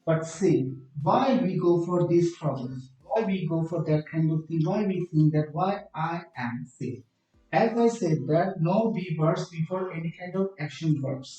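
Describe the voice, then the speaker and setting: A man with a Bangladeshi accent, a native Bengali speaker, teaching an English grammar lesson slowly and often asking whether students follow. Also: fairly high pitch, warm tone, very echoey, distant mic, muffled recording. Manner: slow, explanatory, asks checking questions.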